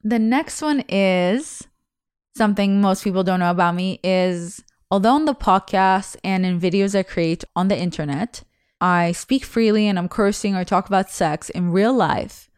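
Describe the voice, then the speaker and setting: A woman's voice: mid-range voice, normal speed, a little mellow, smooth, dry recording, studio quality. A young woman whose first language is Russian, a podcast host talking candidly and chattily about herself, rambling with restarts. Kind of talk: casual confessional monologue.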